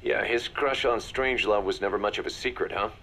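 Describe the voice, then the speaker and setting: A man's voice: lowish voice, fairly quick, sharp tone, a little hiss or hum. A man playing a shrewd, hard-nosed mercenary second-in-command, assured and businesslike, in a video game audio drama. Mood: cool, pragmatic.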